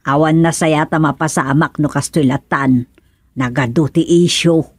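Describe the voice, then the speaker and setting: A woman with an Ilocano accent playing a chiding, gossipy village woman in a radio comedy drama, with a lively, nagging way of talking. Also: low-pitched, measured pace, husky, no echo, studio quality. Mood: chatty.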